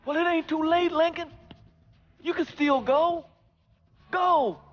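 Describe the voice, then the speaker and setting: Earnest, stern older Southern priest. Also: male voice, very high voice, muffled recording. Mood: pleading. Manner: pleading, halting, stern. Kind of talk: video game cutscene acting.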